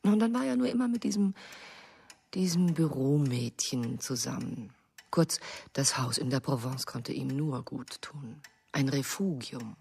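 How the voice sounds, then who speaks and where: Genteel, domineering wife for radio drama: low-key gravel, husky, effusive and affected. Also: female voice, expressive, measured pace, mellow, heavily acted. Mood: haughty. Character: genteel, effusive American wife reminiscing, dominating her husband. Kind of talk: German radio drama acting.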